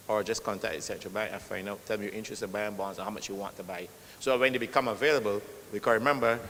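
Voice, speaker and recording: A loud-voiced man answering a question at a meeting, patiently explaining with worked examples. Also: on the low side. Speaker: official explaining bonds. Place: meeting hall. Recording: long reverb in a hall.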